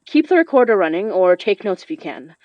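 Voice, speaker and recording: A woman's voice: medium pitch, big pitch swings, fairly quick, a little mellow, no room sound, natural voice. A woman playing a determined, informal young spirit summoner in a horror audio drama, giving things a self-possessed, casual tone. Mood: serious. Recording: dry, slightly dull studio sound.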